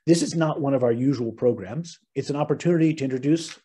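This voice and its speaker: A middle-aged American man opens a webinar, speaking in an earnest, measured tone with the odd "um" and restart. His voice is husky and mellow, and it comes through a video-call microphone. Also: mid-range voice. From United States. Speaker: webinar host and moderator. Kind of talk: formal opening remarks.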